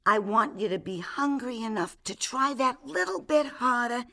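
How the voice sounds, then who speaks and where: A woman's voice: on the high side, lively intonation, normal speed, vocal fry, bright, forceful tone, full character voice. A woman acting a brash, worldly art dealer and pushy boss in a comedic radio drama, theatrical and full of endearments. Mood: pushy, urgent. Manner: theatrical, calls people darling and sweetie.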